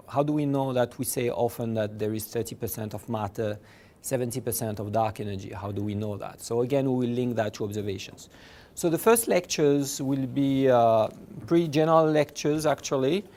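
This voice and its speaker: A man with a French accent giving an informal university lecture, halting and repeating words as he goes, in an approachable way. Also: mid-range voice, darkish tone. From France, originally from Morocco. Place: lecture room with blackboard.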